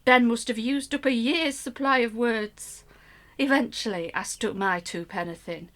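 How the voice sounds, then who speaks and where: An actress playing an anxious middle-aged mother, telling her story in an expressive, wry first-person radio play monologue. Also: a little high, measured pace. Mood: exasperated, wry. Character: anxious middle-aged mother recounting a troubled family holiday.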